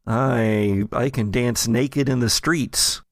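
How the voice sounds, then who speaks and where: Gravelly-voiced American man bantering. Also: mid-range voice, slow, no echo, clean studio sound. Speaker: podcast host. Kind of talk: casual banter among friends.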